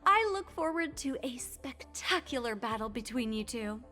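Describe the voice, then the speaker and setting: A woman's voice: on the high side, expressive, moderate pace, sharp tone, faint music behind. A woman voicing an eager, gossipy young journalist in a video game, polite and effusive.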